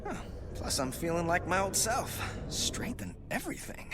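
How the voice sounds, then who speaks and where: Wisecracking, animated young superhero. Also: male voice, high voice, normal speed. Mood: grudging, game. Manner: sarcastic, quippy. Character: wisecracking young male superhero, sarcastic, breezy, weary. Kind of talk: video game voice acting. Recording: loud music behind the voice.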